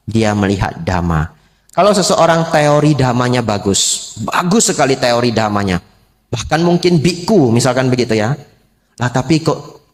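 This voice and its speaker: A man preaching as a Buddhist monk, unhurried, repeating key phrases and posing rhetorical questions to lay listeners. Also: mid-range voice. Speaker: Buddhist monk giving a Dhamma talk. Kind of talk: sermon to a lay congregation.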